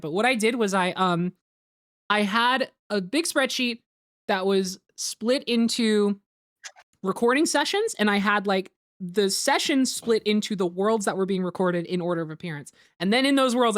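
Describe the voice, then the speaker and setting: A woman's voice: mid-range voice, no room sound, studio quality. A woman hosting a group commentary, explaining things in casual chat, rambling with lots of "like" and some swearing. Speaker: host of a group commentary on a fan dub.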